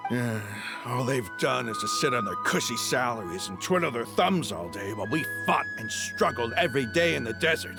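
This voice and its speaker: Hearty, animated desert fighter. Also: male voice, medium pitch, moderate pace, gravelly, hardly any pauses. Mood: scornful, ready. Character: hearty, battle-ready desert fighter man, loyal comrade. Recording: game music behind the voice.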